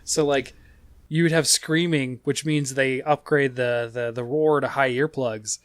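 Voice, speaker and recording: A man hosting a casual gaming podcast, talking in a rambling, halting way. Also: mid-range voice, fairly even intonation, no room sound, studio quality. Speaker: podcast host.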